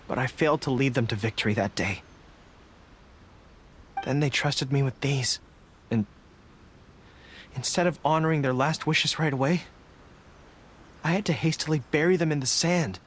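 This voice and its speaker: An actor playing an earnest, dutiful young army general in an anime video game, speaking formally and with resolve. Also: male voice, fairly high pitch, moderate pace, pauses often, slightly rough. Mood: somber, regretful. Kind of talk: English-dubbed anime video game acting. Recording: game music behind the voice.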